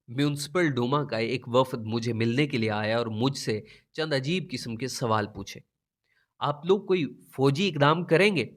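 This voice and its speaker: A man narrating a memoir as an audiobook, in a measured, literary and expressive reading manner. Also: mid-range voice. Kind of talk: scripted dramatic narration of a political memoir.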